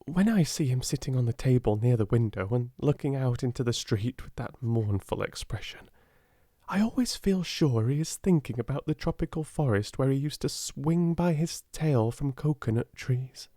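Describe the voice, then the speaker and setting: A man's voice: medium pitch, lively intonation, normal speed, dark tone, no echo, clean studio sound, voice pushed up. A man voicing a brave, imaginative little girl with a British accent, earnest and kindly in a storybook reading. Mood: comforting, dreamy.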